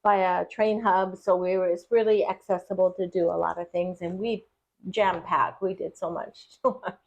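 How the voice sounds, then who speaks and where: A woman's voice: fairly low pitch, steady tone, normal speed, dull audio. A middle-aged woman, a podcast guest, chatting casually and rambling through stories with easy laughs. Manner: chatty, rambling storyteller who laughs easily. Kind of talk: casual conversation.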